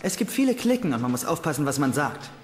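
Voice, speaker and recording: An actor playing an earnest, insecure young doctor in a German-dubbed TV comedy-drama. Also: male voice, on the high side, sing-song, fast. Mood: earnest, pleading.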